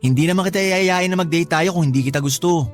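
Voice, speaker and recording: A man's voice: fairly high pitch, fast talker, soft background music, lightly acted. A man playing a pushy, manipulative young suitor. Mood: charming. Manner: charming at first, then demanding. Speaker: suitor Dennis. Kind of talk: radio drama acting.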